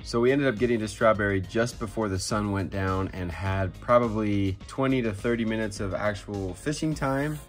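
An American man in his thirties narrating a casual fishing vlog outdoors on a frozen lake, filmed on a handheld phone and at times hushed to a murmur. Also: on the low side, normal speed, hardly any pauses, faint music behind. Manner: easygoing, drops to a hushed murmur while landing a fish. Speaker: fishing vlogger. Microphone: handheld phone camera.

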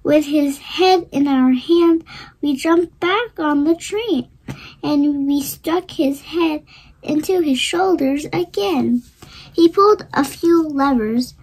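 A child reading a rhyming children's storybook aloud expressively, in deliberate short phrases. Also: high voice, darkish tone, low-quality recording. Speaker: storybook reader.

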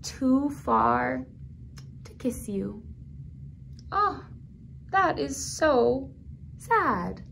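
Casting: woman, 30s, children's storytime reader, gentle and unhurried, very animated pitch, small room with some background noise. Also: creaky. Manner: gentle, simple phrasing aimed at small children, with questions. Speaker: children's librarian, storytime host. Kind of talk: toddler storytime, read-aloud with commentary. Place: small indoor room at a library.

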